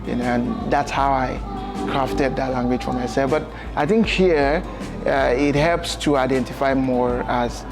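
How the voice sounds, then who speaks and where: A young Nigerian man answers interview questions in a casual, relaxed way, filling pauses with "um" and "you know". His pitch stays flat and even. Music runs clearly behind him in a bright lounge. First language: Yoruba. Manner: relaxed, gestures with hands, fills with "you know" and "um". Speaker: guest. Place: bright lounge with sofas, indoors.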